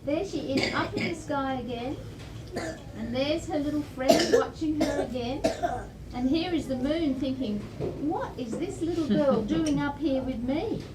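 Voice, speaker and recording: High-pitched Australian woman, distant and echoey. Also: measured pace, crowd noise. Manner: simple, encouraging explanations addressed to young children. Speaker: storytime presenter reading to children. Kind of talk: picture-book read-aloud with questions to children. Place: library event room. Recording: distant, echoey room recording with clear noise.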